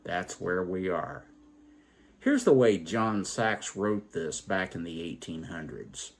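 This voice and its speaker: A man hosting a story program, telling tales and reflecting on their morals in a deliberate, emphatic way. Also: deep voice, animated. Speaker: storytelling show host.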